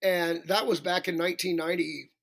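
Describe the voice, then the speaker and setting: A male singer with a raspy voice, chatting as a podcast guest, rambling and self-deprecating with many restarts. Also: sits high, fairly even intonation, quick pace, a bit bright. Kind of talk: casual interview chat.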